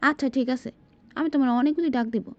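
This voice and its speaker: Patient cartoon mother, played by a woman, Bangladeshi accent, high voice, quick delivery, coaxing a small child. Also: no echo, muffled recording. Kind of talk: amateur cartoon voice acting.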